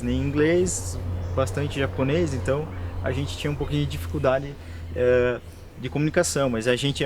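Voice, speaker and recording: A man's voice: medium pitch, background noise. A Brazilian man, a worker at a plant nursery, explaining the work to an interviewer on site with many fillers and restarts. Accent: Brazilian Portuguese. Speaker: nursery worker at a conservation NGO. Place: plant nursery and lab on a rural property.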